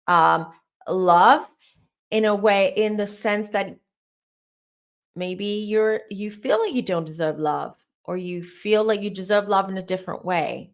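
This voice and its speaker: A woman hosting a live-stream tarot Q&A, her voice starting to go hoarse, who rambles as she gives advice straight to her viewers. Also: medium pitch, unhurried. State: voice going hoarse. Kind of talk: live-stream spiritual Q&A, answering chat questions.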